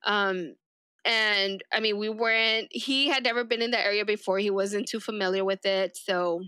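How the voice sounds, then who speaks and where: A woman's voice: medium pitch, steady tone, moderate pace, sharp tone, clear, resonant tone, no echo, studio quality. A woman whose first language is Spanish, telling her story casually as a police deputy guest on a podcast.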